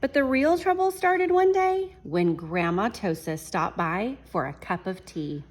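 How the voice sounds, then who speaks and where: A woman teacher reading a picture book aloud to children, animated and chuckling, pausing to talk to her class. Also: medium pitch. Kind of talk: children's read-aloud storytime.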